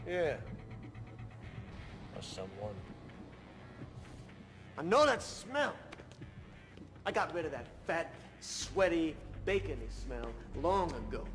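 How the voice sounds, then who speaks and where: Game crew boss: streetwise, taunting swagger, highish animated voice, slow menacing delivery, loud music underneath. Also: male voice. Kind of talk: video game cutscene acting.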